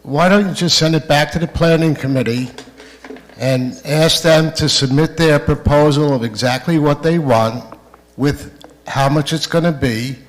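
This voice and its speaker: A man's voice: fairly high pitch, a little slow, low-quality recording. A man at a community meeting speaking in an impatient, direct way.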